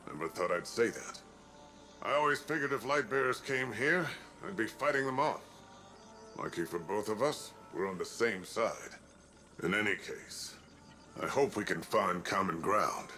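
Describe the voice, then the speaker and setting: A seasoned armored guardian in a game cutscene, low in pitch with a bright, forceful tone. He sounds wry and confident. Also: lively intonation, a little slow, faint music behind, exaggerated voice. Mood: wry, welcoming. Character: bold, seasoned male armored city protector. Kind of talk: video game cutscene dialogue.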